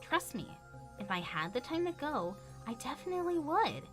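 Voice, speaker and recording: A woman playing a caring, firm young pony princess in an animated cartoon drama, earnest and emphatic. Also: high-pitched, moderate pace. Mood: apologetic, firm. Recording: music behind the dialogue.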